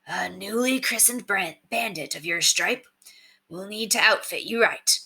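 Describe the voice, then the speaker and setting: A woman voicing a brisk, gruff male bandit leader in a storybook, with a commanding, folksy, clipped manner. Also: mid-range voice, bright, forceful tone, full character voice, poor audio.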